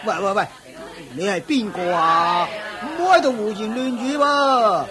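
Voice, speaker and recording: An actor playing a brash, callous slave auctioneer in a radio play, pushy and mocking. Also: male voice, high-pitched, a little slow. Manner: pushy, mocking, sells loudly. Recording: market crowd sound effects behind. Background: crowd murmur.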